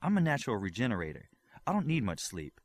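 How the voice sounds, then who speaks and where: A man's voice: fairly low pitch, huge pitch swings, fast talker. A man voicing a vigilant, loyal young female superhero in an audiobook, firm and matter-of-fact. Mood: firm, watchful.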